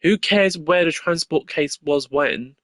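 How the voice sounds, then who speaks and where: A man's voice: on the high side, a little slow, a bit bright, no echo. A man voicing an indignant, dismissive male prosecutor arguing in a courtroom game. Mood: scornful. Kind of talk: dramatic visual novel courtroom reading. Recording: game music behind the voice.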